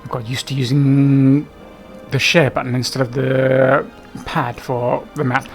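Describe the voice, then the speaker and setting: A man's voice: mid-range voice, level tone, a little slow, gravelly, soft background music. A man giving casual, unscripted gameplay commentary in a hesitant, rambling way, often trailing off. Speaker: gaming video host.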